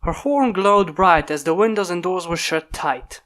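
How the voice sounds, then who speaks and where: A man reading a fan story aloud in steady, plain narration. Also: high-pitched, unhurried. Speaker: fanfic reader. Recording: mic pops from a failing microphone.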